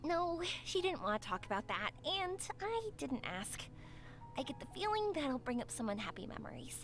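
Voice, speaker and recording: An actress voicing a cheerful, talkative young woman in a game, speaking in a bright, encouraging way. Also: sits high, sing-song, normal speed, exaggerated voice. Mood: thoughtful. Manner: bright, encouraging, sing-song. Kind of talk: game voice acting.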